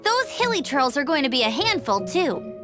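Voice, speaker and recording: A woman's voice: high voice, very animated, moderate pace. A woman voicing an upbeat, confident young female scout in a video game, breezy and eager, over music. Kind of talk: animated video game voice acting.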